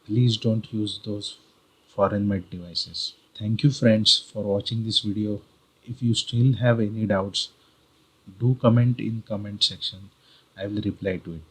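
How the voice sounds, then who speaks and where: A man with an Indian accent presenting an explanatory tutorial in a deliberate, repetitive, instructional way, with a husky voice. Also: lowish voice, fairly even intonation, poor audio. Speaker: video guide presenter.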